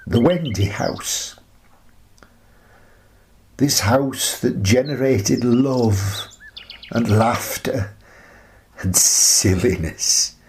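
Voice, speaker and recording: An actor playing a bereaved, tender old widower in a wistful, halting, reflective radio play monologue. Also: male voice, lowish voice, slight background noise. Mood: subdued sorrow. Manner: slow, halting, reflective delivery.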